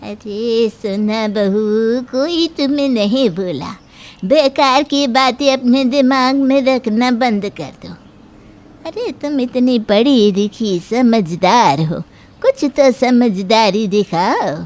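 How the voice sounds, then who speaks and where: A woman's voice: fairly high pitch, darkish tone. A woman playing an older mother-in-law in a cartoon moral story, firm and reasoning as she admonishes. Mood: admonishing. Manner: admonishing, measured, lecturing.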